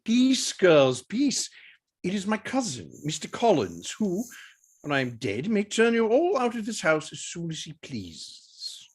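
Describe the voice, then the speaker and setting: A man playing a dry, teasing older father and husband in a period drama reading, deliberate and ironic, with a husky voice. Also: sits high, wildly swooping pitch, exaggerated voice. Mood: wry.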